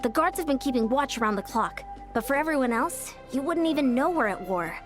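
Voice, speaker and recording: A woman's voice: sits high, animated, brisk, music in the background. A woman playing an earnest young female character in a video game.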